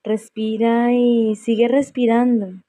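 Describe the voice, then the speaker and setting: A woman leading a guided meditation in a serene, unhurried way, leaving long pauses between phrases. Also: a little high, flat delivery, warm tone, no room sound. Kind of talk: slow guided meditation narration.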